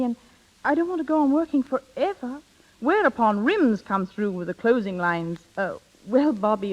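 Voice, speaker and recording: A worldly, scheming woman played by an actress in an old radio romance. She coaches with wry, bossy assurance in a middling pitch. The vintage broadcast sounds dull and muffled. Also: darkish tone, lightly acted. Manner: knowing, wry, mimicking. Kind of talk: 1930s radio acting.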